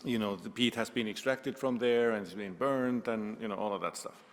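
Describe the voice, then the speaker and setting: A man from Finland with a Finnish accent, speaking English as a panelist at a conference and often pausing to search for words. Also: on the low side. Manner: halting, many "uh" fillers, searches for words. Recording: echoey lecture hall.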